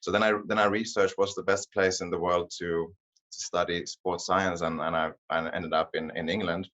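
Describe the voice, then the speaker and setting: Very deep-voiced Finnish man, modest. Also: flat delivery, moderate pace, a bit bright. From Finland. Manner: modest, repeats words while searching for them. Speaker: guest, performance coach. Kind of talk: interview answers. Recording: dull lo-fi audio.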